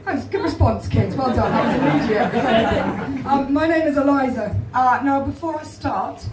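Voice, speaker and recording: Croaky young woman doing stand-up. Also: high voice, over a noisy crowd, very noisy. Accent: English. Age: 20s. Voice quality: hoarse, croaky natural voice. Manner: self-deprecating, deadpan delivery with pauses for laughs. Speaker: stand-up comedian. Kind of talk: stand-up comedy set. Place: small comedy club stage, live audience. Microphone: handheld stage mic, filmed from far back in the crowd. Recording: echoey club recorded from far off. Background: audience laughter.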